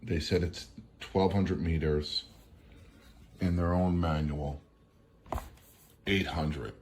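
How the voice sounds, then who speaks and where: Very deep-voiced, slow-talking man. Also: fairly even intonation, darkish tone, a little hiss or hum. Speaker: product reviewer. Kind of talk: casual unscripted product critique.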